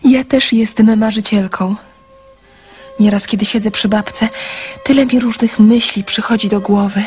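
A woman acting in a radio drama as a naive, curious, dreamy young woman with a gentle manner. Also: mid-range voice, fairly even intonation, a little slow, husky, faint music behind.

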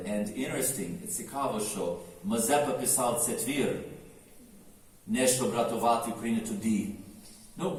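A man's voice: lowish voice, a little mellow. American man speaking from far off in an echoey room. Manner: earnest, many "uh" pauses, mixing languages. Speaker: torban player and singer. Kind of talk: live talk to an audience before a performance.

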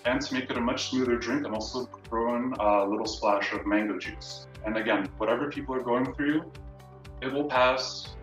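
A man's voice: mid-range voice, normal speed, fairly bright tone. A young adult man, a student, talking casually to camera on a video call from a room at home. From Canada. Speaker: student fellow, presenter. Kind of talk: casual personal talk to camera. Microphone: camera mic at a distance. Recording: roomy echo, distant mic, music behind. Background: music.

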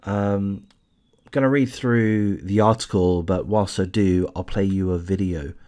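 A man's voice: sits low, unhurried, warm tone. A man hosting a true crime channel, casually reading a news article aloud with lots of hesitant fillers and restarts, and giving blunt opinions.

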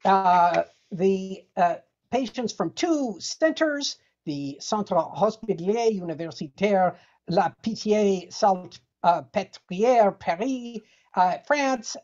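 A woman reads a research paper aloud over a video call. Her delivery is halting, with repeats and searching gaps, and the sound is slightly dull and lo-fi. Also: mid-range voice, darkish tone. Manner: halting, loses place, repeats phrases. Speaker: dramatic reader at a comedy science session.

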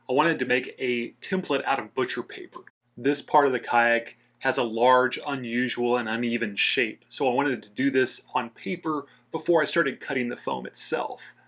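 A man's voice: mid-range voice, a bit bright. A man narrating a scripted how-to voice-over as the host of a DIY outdoors channel.

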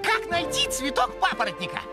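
A woman's voice: sits high, huge pitch swings, brisk. A woman voicing a cocky, impulsive young male rabbit in a children's cartoon, brash and boyish. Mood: eager, curious. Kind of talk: educational children's cartoon acting. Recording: loud music behind the voice.

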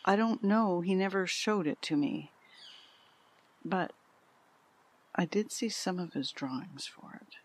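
An actress playing a candid, troubled middle-aged mother in an audio drama, speaking with a husky voice. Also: on the low side, animated, takes it slowly, long pauses. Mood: fond, reflective. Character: candid, troubled mother confiding about her peculiar son. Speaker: interviewee.

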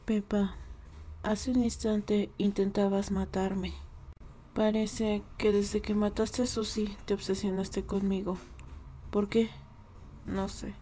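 A woman's voice: medium pitch, little pitch movement, takes it slowly, long pauses, mellow, slight background noise, close to an everyday voice. A woman with a Latin American accent voicing a vengeful, brooding young cartoon pig in a fan dub, hesitant and solemn. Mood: somber, reflective. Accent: Latin American Spanish.

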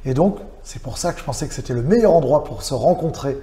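A middle-aged man hosting a relaxed interview in a small gallery salon, easygoing and sprinkled with fillers. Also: fairly high pitch, fast talker, echoey room. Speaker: gallery owner hosting the web series.

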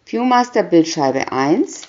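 Very slow-reading woman, lively intonation. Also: lowish voice, highs cut off. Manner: announces short captions one by one. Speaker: reader. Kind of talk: slow scripted reading of a children's picture booklet.

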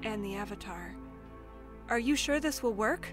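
A woman playing a shy, anxious, self-doubting teenage girl in an animated fan drama, speaking hesitantly. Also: mid-range voice, moderate pace, faint music behind, natural voice. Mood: anxious, unsure. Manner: hesitant, soft-spoken. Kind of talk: fan visual novel voice acting.

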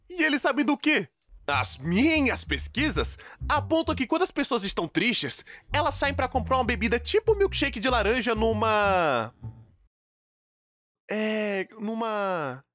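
A Brazilian man delivers comedic storytime narration with a very lively pitch that swings widely. His manner is exaggerated and dramatic, full of casual slang. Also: dry recording. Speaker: storytime animation narrator, dubbing in Portuguese.

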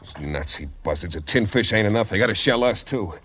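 Bossy working-class seaman, radio drama. Clipped, animated delivery, dull vintage recording. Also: on the low side, brisk. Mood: grim, sardonic. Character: tough, sardonic working-class ship's oiler.

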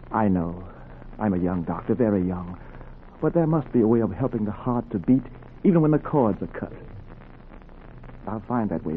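Earnest young surgeon, tinny old radio. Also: male voice, fairly low pitch. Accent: American. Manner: clipped commands, urgent pleading. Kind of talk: 1940s radio acting. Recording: noisy, thin 1940s radio recording.

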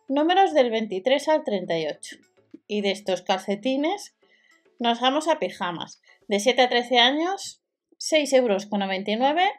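A woman giving a matter-of-fact voice-over of store deals, listing prices and sizes. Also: on the high side. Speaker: deal-video host. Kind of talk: voice-over product walkthrough.

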